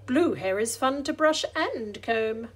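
A woman reading a children's picture book aloud, expressive, deliberate and sing-song. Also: on the high side, slightly rough. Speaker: story reader.

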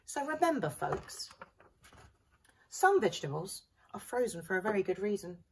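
A woman reads a picture book aloud with dramatic expression. Her voice is slightly husky and swings widely in pitch. It is picked up from a short distance by a camera microphone. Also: very animated, moderate pace, a little mellow. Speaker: primary school staff member reading aloud. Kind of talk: animated children's storybook reading. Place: small school library corner indoors. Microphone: camera a few feet away.

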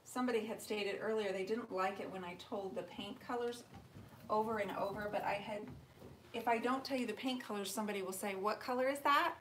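A woman's voice: medium pitch, a little fast, a bit bright, slight background noise, low-quality recording. Chatty woman rambling through a live stream. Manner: chatty, rambling, answers viewer comments aloud. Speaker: furniture painter hosting a live stream. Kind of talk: casual live-stream tutorial chat. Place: home workshop, indoors. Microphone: camera mic, moves toward and away from it.

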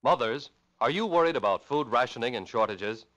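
A man delivers a 1940s radio commercial. His medium-pitched voice rises and falls a lot in a scripted sales read. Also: animated, brisk, a bit bright. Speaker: advertisement announcer.

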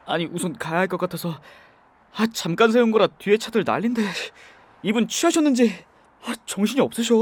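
Male actor as a young driver, gentle and reassuring, light voice with a lot of melody. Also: sits high. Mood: flustered. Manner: soft, gentle, comforting. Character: young man driving, kind and soft-spoken. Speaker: voice actor in an audio drama. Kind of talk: ASMR audio drama acting.

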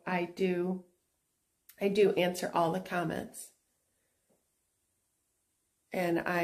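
A middle-aged woman hosting a casual vlog while showing her antique shop booth, talking in an unhurried, chatty way with fillers and restarts. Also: medium pitch, a little mellow. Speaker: antique shop owner and channel host.

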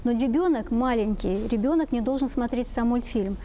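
A woman's voice: fairly high pitch, normal speed, warm tone, a little hiss or hum. A woman giving explanations as an expert guest in a panel discussion.

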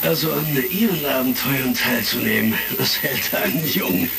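An actor playing a genial, authoritative intelligence chief in a retro sci-fi audio drama, measured and conversational. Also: male voice, mid-range voice, creaky, over loud music, lightly acted. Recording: crackly vintage record, slightly distant.

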